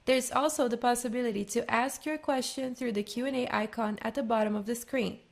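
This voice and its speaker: A woman's voice: fairly high pitch, steady tone, brisk, creaky. A woman moderating a conference call, reading scripted announcements and questions.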